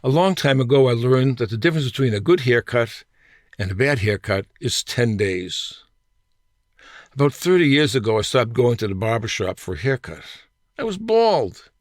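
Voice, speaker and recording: An older man in his sixties or above reading his own humorous personal essay in a wry, deliberate way with emphatic phrasing. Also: mid-range voice, big pitch swings, no room sound, studio quality. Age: 60s or older.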